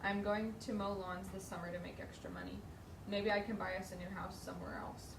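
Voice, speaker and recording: An echoey, distant recording with clear noise. A woman reads a written character profile aloud to a group in a medium-pitched voice. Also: level tone, brisk. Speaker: training participant reading aloud. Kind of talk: reading a first-person character profile aloud.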